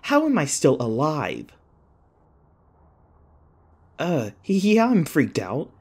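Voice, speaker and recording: A man playing an anxious, sarcastic young college student in an audio roleplay, rambling and halting in a wry, flustered way. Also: on the high side, wildly swooping pitch, a little mellow, husky, heavily acted. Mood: freaked out. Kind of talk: audio roleplay acting, one-sided dialogue.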